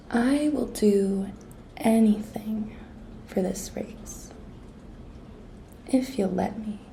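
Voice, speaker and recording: Slow, whispery, flirty office intern played by a woman. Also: medium pitch, warm tone, a little hiss or hum, rough recording. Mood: coy pleading. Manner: breathy, coy, slow and deliberate, often dropping to a whisper. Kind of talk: whispered ASMR roleplay.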